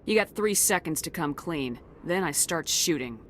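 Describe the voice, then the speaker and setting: A hard-edged female commander in a video game, blunt and impatient. The voice sits fairly low and gives firm orders, with faint noise in the background. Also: lively intonation, moderate pace, a bit bright. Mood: threatening.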